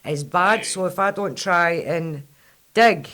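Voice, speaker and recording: A low, husky-voiced Scottish woman hosts a casual podcast chat. She tells stories with animated energy, swears freely, and her voice sits a little low for a woman. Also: takes it slowly. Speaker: podcast host.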